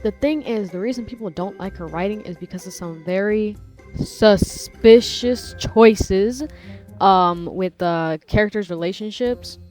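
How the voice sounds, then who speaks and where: A woman's voice: medium pitch, measured pace, a little mellow, faint music behind. A woman giving casual, opinionated commentary in an informal, slangy way, as the host of her own video.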